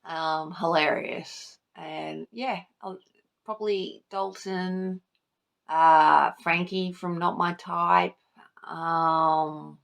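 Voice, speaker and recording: A woman's voice: on the low side, creaky, fairly bright tone. A woman talking casually to camera as a vlogger, informal and sweary, gushing over things she loves. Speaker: book vlogger, channel host. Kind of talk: casual chat to camera, book tag video.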